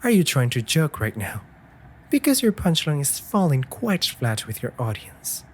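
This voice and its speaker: A man playing a caring, anxious raven familiar in a comforting audio roleplay, articulate and gently scolding in formal phrases. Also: fairly high pitch, sing-song, moderate pace, slight background noise. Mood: concerned, chiding.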